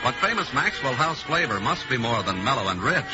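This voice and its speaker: A male announcer reading a scripted radio commercial in a smooth, measured delivery. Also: medium pitch, sing-song, loud music behind the voice. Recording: dull lo-fi old radio broadcast.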